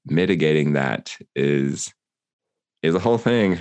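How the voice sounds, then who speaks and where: Deep-voiced man in earnest rambling chat. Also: animated, unhurried, a little mellow, dry recording. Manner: rambling, filler-heavy, earnest. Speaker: podcast co-host. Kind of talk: casual chat.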